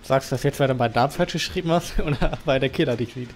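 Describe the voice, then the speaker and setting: A young man playing a co-op horror game, calmly talking his friend through it and teasing him. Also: mid-range voice. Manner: quick, calm, teasing, laughs at his friend. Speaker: gamer co-op player. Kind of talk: casual let's play chat.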